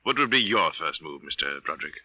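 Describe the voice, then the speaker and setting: A man's voice: extremely low pitch, animated, brisk, no room sound, highs cut off. An actor playing a formal, wealthy eccentric man with precise, pompous diction in a 1950s radio drama. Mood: pompous, insistent. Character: formal, wealthy, eccentric male client obsessed with alien invasion.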